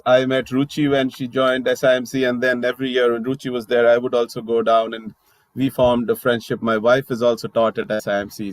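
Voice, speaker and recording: A man's voice: medium pitch, no echo, poor audio. Indian man giving an online academic talk. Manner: conversational, many fillers and restarts. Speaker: guest speaker, media scholar. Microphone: video call.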